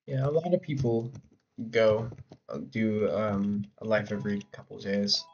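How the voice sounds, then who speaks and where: A man streaming a game in casual chat who rambles with fillers and restarts, his voice hoarse from talking. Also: on the low side, fairly even intonation, unhurried, darkish tone. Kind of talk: casual live-stream chat while playing. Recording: dull lo-fi mic with faint keyboard typing.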